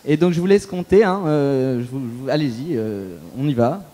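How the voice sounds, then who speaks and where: A man's voice: mid-range voice, expressive, normal speed, warm tone. A man speaking on a conference panel, thinking aloud with frequent restarts.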